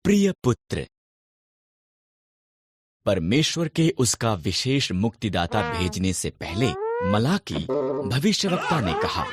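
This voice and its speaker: A narrator telling scripted Bible stories for children in a deliberate storytelling delivery. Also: sits low. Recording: background music under the narration. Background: music.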